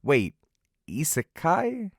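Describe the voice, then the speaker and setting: A man's voice: a little high, huge pitch swings, very slow, vocal fry. A man narrating a scripted but casual review as a video host, in a conversational, emphatic and playful way. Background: music.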